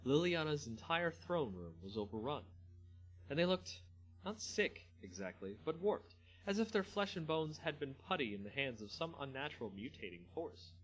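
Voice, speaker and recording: Expressive man reading aloud over music. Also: a little high, moderate pace, low-quality recording. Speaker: host reading a fantasy story aloud. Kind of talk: dramatic story reading with casual asides.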